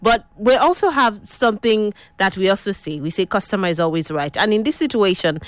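A woman's voice: mid-range voice, expressive, fairly quick, no room sound. Nigerian female radio host, chatty, asks the audience questions; dry studio sound. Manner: conversational, asks listeners questions. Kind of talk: radio talk show hosting.

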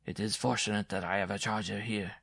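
A man's voice: on the low side, steady tone, brisk, creaky, fairly bright tone, husky, dry recording, clean studio sound, exaggerated voice. A man voicing a small, vengeful circus dwarf in a story, formal, cold and menacing. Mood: cold menace.

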